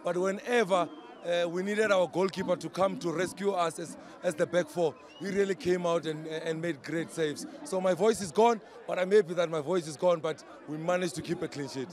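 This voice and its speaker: A man whose voice is hoarse from shouting on the pitch, speaking after a match outdoors. He sounds proud and measured, his voice a bit high, slipping between English and Zulu. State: hoarse, lost voice. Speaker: footballer, defender. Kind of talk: post-match interview.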